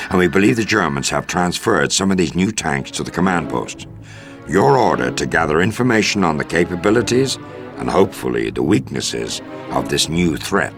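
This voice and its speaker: An actor playing a commanding American military officer in a video game, giving a measured, formal, authoritative mission briefing. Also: male voice, rumbling low, exaggerated voice. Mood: businesslike. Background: music.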